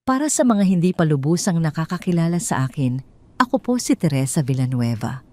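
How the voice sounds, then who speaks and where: Narrator lady playing a wronged wife in her middle years, audio drama, firm and accusing, dark soft tone. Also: sits low, brisk. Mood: calm, firm. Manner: calm, clear, firm. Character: wronged middle-aged wife, resolute, coldly accusing in public.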